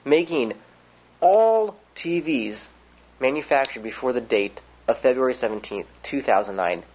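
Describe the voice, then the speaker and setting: A young man playing a news anchor in an amateur mock newscast, with a mock-serious, deadpan delivery. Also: medium pitch, unhurried.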